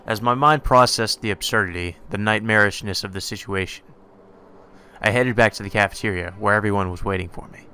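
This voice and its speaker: A man's voice: fairly low pitch, fairly quick, sharp tone, slight background noise. A man narrating a visual novel playthrough, casual and sweary in his delivery. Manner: casual, heavily profane. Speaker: let's play streamer. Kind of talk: gameplay commentary and visual novel read-aloud.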